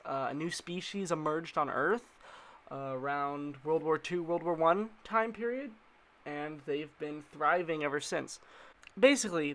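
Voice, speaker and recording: Higher-pitched man giving casual slangy commentary. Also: fairly bright tone. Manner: informal, slangy, playful asides. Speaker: video narrator.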